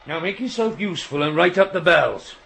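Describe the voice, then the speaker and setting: Gruff West Country signalman dad, noisy ambience. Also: on the high side, lively intonation, brisk, a bit bright. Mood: brisk, bossy. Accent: English West Country. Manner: gruff, chiding, colloquial working man's talk. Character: gruff, teasing middle-aged railway signalman, a bossy father. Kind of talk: naturalistic radio drama acting. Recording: radio play with background noise and ambience.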